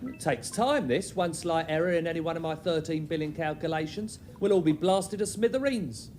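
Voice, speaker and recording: A man's voice: on the high side, normal speed, faint music behind, natural voice. A British man playing a pedantic, smug, bungling genius at a ship's controls in a sitcom, unflappable and self-assured. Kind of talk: sitcom acting. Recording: noisy, loud ambience behind.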